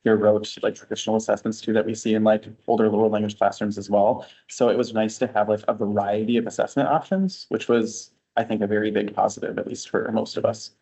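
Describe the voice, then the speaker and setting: A man with a fairly low voice explains French course materials to a school board. His delivery is steady and explanatory. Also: fast, hardly any pauses, husky, rough recording. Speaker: French teacher.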